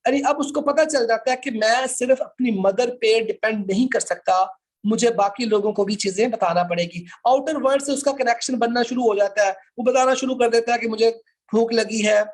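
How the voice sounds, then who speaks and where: A man with a Pakistani accent lecturing informally to a class, explaining step by step and repeating his points. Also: extremely high pitch. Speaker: law lecturer.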